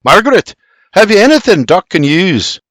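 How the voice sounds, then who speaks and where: A man voicing a blustering, excitable frontier homesteader in an audiobook story. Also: high voice, a little slow, creaky, no echo, studio quality, pitched above the performer's own voice, heavily acted. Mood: frantic. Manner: blustering, exclamatory.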